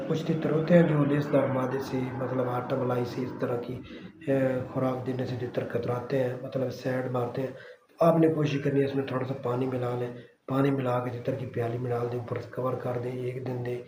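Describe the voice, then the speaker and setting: A man's voice: mid-range voice, noisy background. A man hosting his own bird-keeping channel, giving casual how-to advice to viewers in a reassuring way, speaking with a Pakistani Urdu accent and often checking in with "theek hai". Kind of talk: casual how-to talk to camera. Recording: echoey room with faint ambience.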